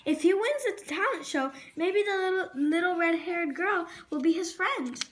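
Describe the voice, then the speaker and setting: A woman's voice: extremely high pitch, animated, normal speed. A female reading a children's storybook aloud expressively, sometimes stumbling over words and correcting herself. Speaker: storybook reader.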